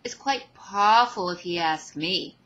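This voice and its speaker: A woman voicing a charming, joking teenage boy superhero with playful, halting bravado in an amateur audio drama. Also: fairly low pitch, fairly bright tone, highs cut off.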